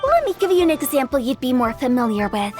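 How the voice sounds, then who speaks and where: Anime game role: confident, cheeky young female poet, very high voice, breezy showmanship, light music underneath. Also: big pitch swings, quick pace, a little mellow. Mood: playful. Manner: playful, theatrical, breezy. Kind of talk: anime-style game dialogue. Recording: music behind the voice.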